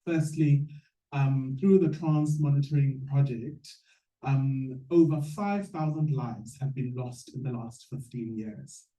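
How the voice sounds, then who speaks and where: A man with a southern African accent giving a measured, earnest speech as an activist on a panel. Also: medium pitch, mellow. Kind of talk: prepared public panel speech. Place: echoey room at a panel event. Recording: echoey room, distant mic.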